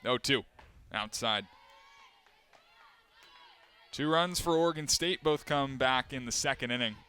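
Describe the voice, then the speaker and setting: A young man calling live games as a play-by-play announcer, steady between plays and climbing sharply in pitch and volume on big moments. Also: a little slow, smooth. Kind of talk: live sports play-by-play commentary.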